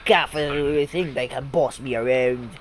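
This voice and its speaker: A gamer reading the lines of a gruff, hot-tempered male brawler in a blunt, stumbling way over a noisy recording. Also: mid-range voice, unhurried, lightly acted. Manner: blunt, stumbling read-aloud. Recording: noisy background hiss.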